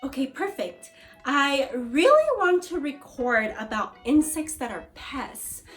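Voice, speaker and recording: A woman with a fairly high voice speaks very slowly, as an elementary teacher does in a read-aloud lesson. She addresses young pupils directly in an encouraging, instructive way, moving between reading and questions. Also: sing-song, fairly bright tone, faint music behind.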